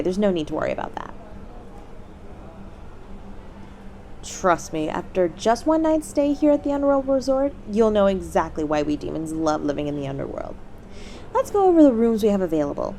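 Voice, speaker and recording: A cheery demon front-desk host, acted by a woman in an audio roleplay, speaking straight to the guest. The delivery swings up and down in pitch with bubbly, courteous sales polish. Faint ambient noise sits behind the voice. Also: warm tone. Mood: cheery, reassuring, then polite, persuasive. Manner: bubbly, courteous customer-service patter. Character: cheery demon hotel receptionist, eager and polite, salesy. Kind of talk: scripted audio roleplay, addressing the listener as a guest.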